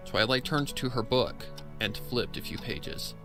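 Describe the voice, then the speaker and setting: A man's voice: medium pitch, lively intonation, measured pace, vocal fry, music in the background. A man reading a scripted story aloud as a narrator. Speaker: fan fiction narrator.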